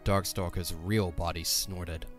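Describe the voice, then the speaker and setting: Male narrator, deep register, scripted dramatic fantasy storytelling over a music bed. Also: flat delivery, slow pace.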